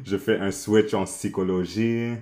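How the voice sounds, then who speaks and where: A man from Montreal talking as a guest in a casual interview, halting often, restarting phrases and slipping into English words. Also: fairly low pitch, steady tone, dark tone. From Montreal, Canada.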